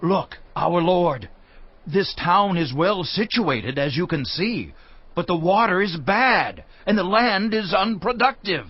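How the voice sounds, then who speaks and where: An actor playing a respectful, pleading follower of a prophet in a dramatised audio scripture, with a deliberate, deferential manner. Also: male voice, high-pitched, expressive, slightly rough, no echo, full character voice. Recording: dull lo-fi sound, music underneath.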